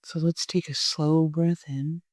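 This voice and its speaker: A person leading a guided meditation in a gentle, soothing way, with long unhurried pauses. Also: medium pitch, little pitch movement, no room sound.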